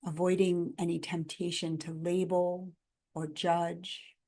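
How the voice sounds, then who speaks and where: A woman guiding a body scan meditation in a gentle, calm, instructional manner, in measured phrases with pauses between them. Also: on the low side. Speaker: meditation guide.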